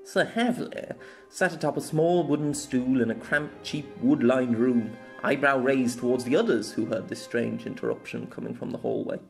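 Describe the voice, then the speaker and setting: A male narrator reads a fantasy story aloud in a mid-pitched voice. The delivery is scripted storytelling, with music playing behind it. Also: normal speed, gravelly.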